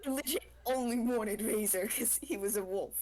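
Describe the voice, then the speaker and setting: A woman's voice: on the high side, normal speed. A woman guest on a game stream, chatting casually and somewhat hesitantly. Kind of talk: casual chat about gaming.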